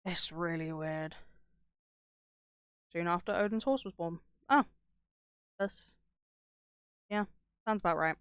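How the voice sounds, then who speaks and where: A woman with a lowish voice talks casually as a streamer, rambling through stories with plenty of swearing. Her delivery is irreverent and conversational, recorded close and dry with little room sound. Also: takes it slowly, pauses often, clean tone, no echo. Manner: acts out dialogue when retelling stories. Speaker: streamer reacting to horror videos. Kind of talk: casual rambling chat, storytelling.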